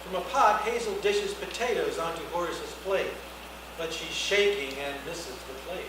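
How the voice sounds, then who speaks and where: A man's voice: high voice, moderate pace. A man reading scripted stage directions as the narrator at a live screenplay reading. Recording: echoey noisy hall, distant mic.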